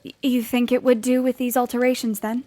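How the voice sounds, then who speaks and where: A woman's voice: fairly high pitch, level tone, fairly quick, dark tone, lightly acted. A woman playing an eager, polite young woman writer in an audio drama, earnest and easily flustered. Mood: hesitant, polite. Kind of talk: audio drama acting with letter narration. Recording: music behind the voice. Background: music.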